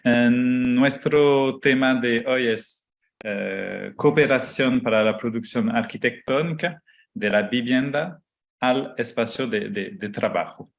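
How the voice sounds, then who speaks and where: A man whose first language is Portuguese gives an online lecture over slides, speaking slowly and haltingly with many fillers. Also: mid-range voice, creaky. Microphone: computer mic on a video call.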